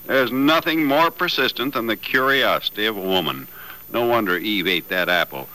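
A man's voice: fairly low pitch, pushed and bright, low-quality recording. An actor playing a stubborn, folksy old foster father who stalls and teases in an old-time radio soap. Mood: playful teasing. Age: older. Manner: slow, stalling, playful drawl. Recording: vintage radio drama recording.

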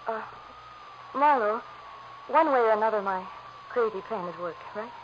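A woman's voice: a little high, expressive, moderate pace, close to an everyday voice. An actress playing a grieving, uncertain young woman, hesitant and earnest, in 1940s radio drama style. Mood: hesitant, subdued. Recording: muffled, hissy old radio recording.

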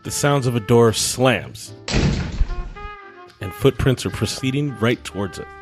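A man's voice: mid-range voice, animated, a little slow, over music. A man reading scripted narration and stage directions for an amateur radio drama. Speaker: narrator of a script, podcast co-host.

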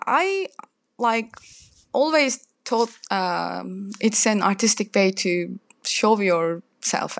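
A young woman with a Turkish accent talks slowly and haltingly, English not her first language, filling pauses with little laughs. The sound is dry and close, with no room in it. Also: medium pitch, no echo. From Turkey. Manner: hesitant, many fillers and laughs. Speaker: chef, interview guest. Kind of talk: casual interview talk.

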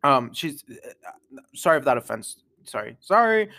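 A young man hosting an unscripted podcast, chatting casually and rambling, with lots of fillers, restarts and quick laughs. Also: medium pitch, sing-song, sharp tone. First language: English. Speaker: podcast host.

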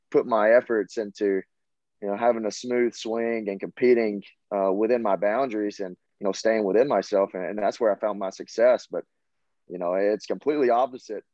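Low-voiced Southern young man, dull dry audio. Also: a little mellow, no room sound. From Tennessee, USA. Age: 20s. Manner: easygoing, halting with many fillers. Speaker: college baseball player guest. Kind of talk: casual interview answers.

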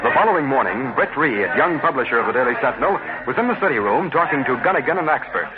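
A man's voice: mid-range voice, expressive, fast talker, over loud music. Old time american radio announcer guy, big swooping delivery, tinny sound. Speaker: announcer and narrator. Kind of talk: dramatic scripted 1940s radio narration.

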